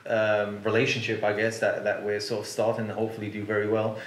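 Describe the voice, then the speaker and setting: A man in his thirties with a lowish voice hosts the conversation, earnest and quick to back his guest up. The sound carries the echo of a roomy office. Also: completely flat delivery. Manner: earnest, affirming, repeats agreement. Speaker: interviewer host. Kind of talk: conversational interview. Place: office lounge, indoors.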